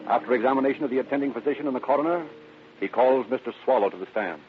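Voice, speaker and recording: A tinny old radio recording with music behind an American male narrator. He reads a crime drama in a solemn, formal, dramatic style at a medium pitch. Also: racing.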